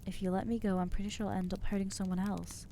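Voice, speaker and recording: A woman's voice: medium pitch, level tone, fast talker, mellow, slight background noise, natural voice. A woman voicing an anxious, self-loathing young female vampire in an ASMR audio roleplay, talking hesitantly and putting herself down. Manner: hesitant, self-deprecating, trailing off.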